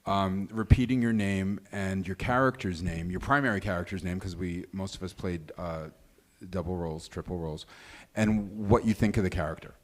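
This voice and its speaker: Guy moderating a casual panel, voice a bit low. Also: steady tone, moderate pace.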